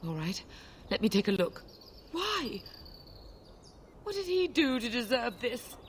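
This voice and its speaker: A woman's voice: a little high, very animated, unhurried, slight background noise. An actress playing a plaintive townswoman in a fantasy video game, with birdsong behind her. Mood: dismayed.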